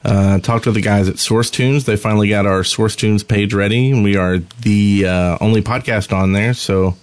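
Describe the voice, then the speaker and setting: A man's voice: on the low side, steady tone, normal speed, no echo. A man co-hosting a casual gaming podcast, talking in a loose, rambling way with hesitant explanations. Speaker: podcast co-host.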